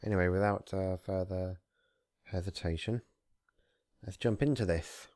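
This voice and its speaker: Male story reader, lowish register, dry recording, casual host who narrates with deliberate theatrical delivery. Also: darkish tone, no room sound. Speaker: fan fiction reader, channel host. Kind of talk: casual intro, then narrated story reading.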